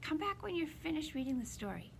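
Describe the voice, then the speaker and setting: A woman speaking casually, as in a quick chat before a story. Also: high voice, sing-song, vocal fry, a little hiss or hum.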